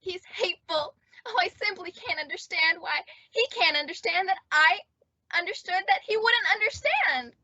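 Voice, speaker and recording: Lovelorn, histrionic teenage girl for radio comedy played by a woman; very high, bright voice, indignant delivery. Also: lively intonation, moderate pace, heavily acted. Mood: haughty resentment. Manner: histrionic, emphatic, wailing. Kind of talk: old-time radio comedy acting. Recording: dull, lo-fi radio-play audio.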